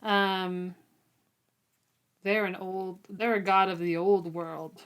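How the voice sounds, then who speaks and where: Female co-host, slow-paced, medium pitch, casual conversational book talk. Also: steady tone, a bit bright, clean tone. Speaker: podcast co-host.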